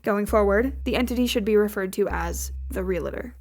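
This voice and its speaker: A woman's voice: mid-range voice, moderate pace, a little mellow, lightly acted. Prim, sardonic female agent played by an actress. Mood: pointed, officious. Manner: officious, dryly sarcastic. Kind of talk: audio drama acting.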